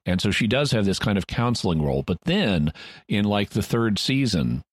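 A man's voice: lowish voice, big pitch swings, moderate pace, dry recording, studio quality. A man co-hosting a podcast, carefully explaining story background in casual discussion. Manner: explanatory, detailed recaps. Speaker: podcast co-host.

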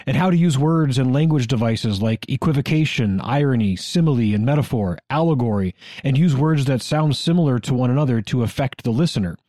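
An American man narrates history as a podcast co-host, reading in a conversational way. His voice is mellow and warm, at a middling pitch, recorded very dry. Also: no room sound.

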